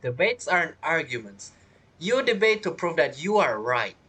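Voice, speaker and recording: A woman's voice: sits low, sing-song, normal speed, fairly bright tone, slightly rough, low-quality recording. A woman doing casual gameplay commentary, chattily talking back to the game's characters. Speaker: game streamer.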